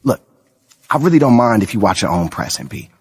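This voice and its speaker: An actor playing a streetwise, joking friend and mentor, husky, slangy and exuberant. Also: male voice, on the low side, big pitch swings, a little fast, long reverb tail, full character voice. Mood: coaching. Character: hyped, joking, streetwise male buddy and mentor. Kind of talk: casual cutscene dialogue.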